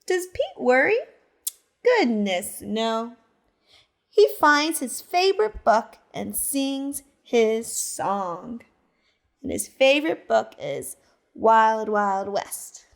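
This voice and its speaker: A woman's voice: on the high side, gravelly. A woman, a teacher librarian, reading a picture book aloud to children and stopping to ask them questions. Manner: slow, expressive storytelling that asks the listener questions.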